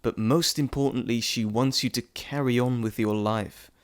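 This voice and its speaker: Guy doing a playful greek messenger god, chatty n fond, medium pitch, teasing you in an audio roleplay. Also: fairly even intonation, natural voice. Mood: earnest, reassuring. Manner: chatty, teasing, theatrical asides and pauses. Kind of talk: intimate audio roleplay to listener.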